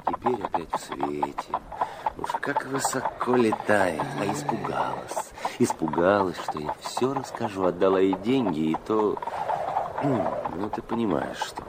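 A man's voice: deep voice, very animated, unhurried, heavy background noise. An actor playing a cynical, scheming young swindler in a radio drama, wheedling and mocking in his talk. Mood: scheming, gloating. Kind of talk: Russian radio drama acting.

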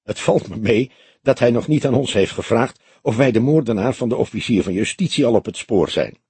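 A young police detective, played by a male reader, sounds earnest and easily irritated. His voice is very husky, with lively pitch movement and clipped, eager phrasing for an audiobook. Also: fairly quick, no room sound. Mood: grumbling. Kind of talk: audiobook character dialogue.

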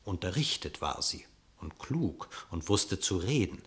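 Expressive male narrator, fairly low-voiced. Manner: expressive, measured storytelling. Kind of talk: scripted literary narration of a verse radio drama.

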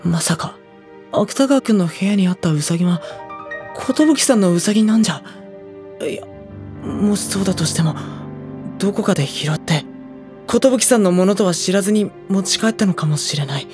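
A sensitive, uneasy high school boy tells his own story in an audio drama. He narrates in the first person, with faint music behind his voice. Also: expressive, normal speed, a little mellow. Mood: uneasy, shaken. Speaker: narrator and character.

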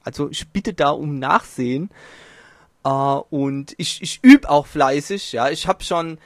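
A man with a fairly high voice chats and jokes at his own expense as he hosts a podcast. The sound is dry and close, recorded in his small home studio. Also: measured pace, no echo. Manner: jokey, self-mocking, chatty. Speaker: podcast host. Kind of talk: casual podcast chat. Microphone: home mic, distance varies.

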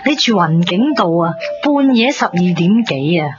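Actress as teasing young hk cantonese shop girl, bit low, muffled old radio play, music under it. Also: lively intonation, moderate pace, close to an everyday voice. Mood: teasing, sarcastic. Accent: Hong Kong Cantonese. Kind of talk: 1990s Hong Kong radio drama acting. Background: background music.

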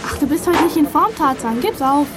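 A woman in a German youth audio drama playing a lively, caring teenage girl with an expressive manner. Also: sits high, a little fast, background noise. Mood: teasing.

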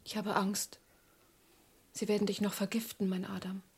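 An anxious, tender wife in a radio drama. Her mid-pitched voice is very breathy and hushed, pleading softly and fearfully. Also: level tone, normal speed. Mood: afraid, tender. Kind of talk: German radio drama acting.

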